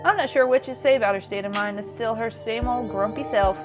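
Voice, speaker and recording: Woman playing a high-voiced, friendly small-town woman. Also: fairly quick, over music, close to an everyday voice. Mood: easygoing candor. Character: friendly, chatty small-town young woman, dog owner.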